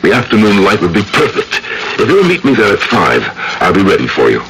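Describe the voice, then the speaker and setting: An actor playing a courteous, secretive, philosophical gentleman in an old-time radio drama, speaking formally and theatrically. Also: on the low side, wildly swooping pitch, fairly quick. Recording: noisy old radio recording.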